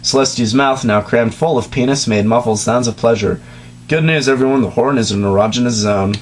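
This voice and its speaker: A man hosting a comedic dramatic reading, reading the text aloud and breaking off into sarcastic, deadpan asides. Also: mid-range voice, fairly quick, slight background noise. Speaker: reader and host.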